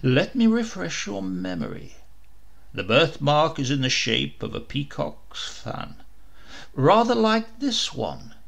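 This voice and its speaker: A man's voice: huge pitch swings, slow pace, creaky, fairly bright tone, exaggerated voice. A man reading a comic storybook and voicing a jovial, mocking knight prince who teases a lying bird. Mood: teasing. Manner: booming, teasing.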